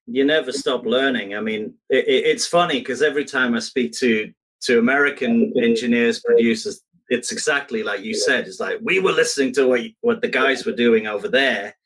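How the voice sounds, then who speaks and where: A man from Belgium talks in a casual, conversational way as a recording engineer. His voice sits at a medium pitch, and he explains his opinions plainly and freely. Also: normal speed, hardly any pauses, a bit bright, poor audio. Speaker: recording engineer, interview participant.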